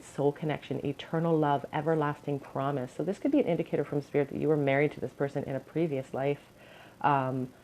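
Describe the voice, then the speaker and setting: Low, crackly-voiced woman reading tarot. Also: dark tone. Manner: earnest, advising, with frequent ums and restarts. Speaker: tarot reader. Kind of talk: pick-a-card tarot reading.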